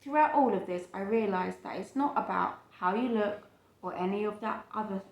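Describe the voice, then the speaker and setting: A woman's voice: medium pitch, normal speed, gravelly. Woman reading a storybook in an echoey room. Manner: explains the pictures simply, acts out quotes. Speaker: parent reading a picture book aloud. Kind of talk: storytime read-aloud with asides to a child.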